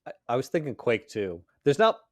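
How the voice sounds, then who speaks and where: A man's voice: medium pitch, very animated, fairly quick, dry recording, studio quality. A man co-hosting a podcast, chatting casually and jokingly about geek culture. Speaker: podcast co-host.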